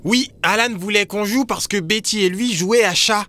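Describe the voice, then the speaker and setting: A woman voicing an expressive, easily scared young boy in a children's cartoon. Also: mid-range voice, normal speed, lightly acted. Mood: earnest explaining. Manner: expressive, exclaiming. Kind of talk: animated children's cartoon dialogue.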